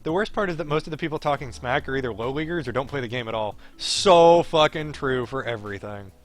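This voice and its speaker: A man streaming a game, reading its text aloud in an expressive, wry way with casual asides. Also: medium pitch, fast talker, faint music behind. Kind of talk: live playthrough, narrating text with casual asides.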